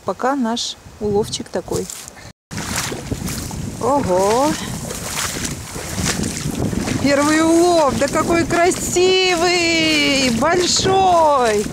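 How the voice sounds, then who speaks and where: A woman on a fishing trip, talking casually and exclaiming excitedly over the catch outdoors by a lake. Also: high voice, drawn-out words. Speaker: fishing companion. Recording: wind and water noise outdoors.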